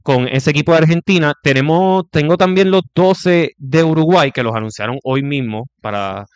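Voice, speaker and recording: A man analyzes rival basketball teams, going player by player. His voice is smooth and clear, in a dry, close-miked studio. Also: on the high side, dry recording, muffled recording. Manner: breaks down opposing players, lists names with pauses. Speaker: sports show host.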